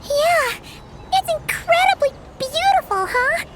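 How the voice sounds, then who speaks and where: A woman voicing a tiny, bubbly, cheeky childlike fairy companion with a squeaky voice in a video game. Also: expressive, takes it slowly, heavy background noise, full character voice. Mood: amazed. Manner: exclamatory, refers to self by name.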